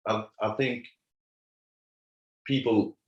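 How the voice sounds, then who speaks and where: A man's voice: on the low side, fairly even intonation, drawn-out words, lo-fi sound. A man, chatting casually as a guest comedian, often repeating words as he searches for them. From France. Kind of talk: casual chat. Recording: roomy echo.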